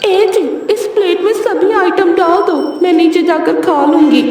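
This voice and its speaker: A woman's voice: very high-pitched, unhurried, darkish tone. A woman playing a shy, embarrassed wife in a cartoon story. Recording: very echoey, distant, music underneath.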